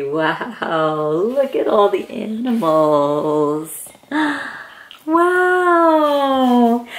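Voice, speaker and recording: A woman in her thirties reading a picture book aloud to young children in an excited, encouraging, exaggerated way. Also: mid-range voice. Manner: exaggerated, sing-song animal noises and questions. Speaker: children's read-aloud host. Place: indoor room with plain wall.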